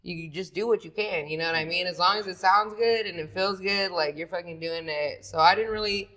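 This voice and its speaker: A woman with a deep, raspy, sleepy-sounding voice, talking about singing as a podcast guest in loose, profane casual chat. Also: brisk, sharp tone. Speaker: singer guest.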